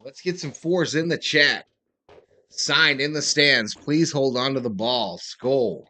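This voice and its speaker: A man's voice: medium pitch, slow pace, pushed and bright. A man hosting a casual live sports stream, calling plays as a fan, informally saying y'all and swearing. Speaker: live stream host doing fan play-by-play commentary.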